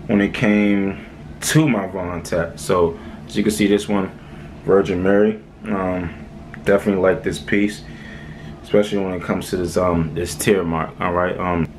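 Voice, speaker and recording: A young man with a light Haitian accent talks to camera in a quiet small room, his voice very low and flat. He drifts along easily, padding his talk with "um" and "all right". A faint hum of the room sits behind him. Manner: laid-back, rambling, many "um"s and "all right"s. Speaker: vlogger. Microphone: camera close in front.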